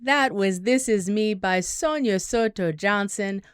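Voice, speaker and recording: A woman's voice: mid-range voice, measured pace, clear, resonant tone, no room sound, clean studio sound, natural voice. A woman playing an upbeat local radio host, with polished, scripted DJ announcing in an audio drama.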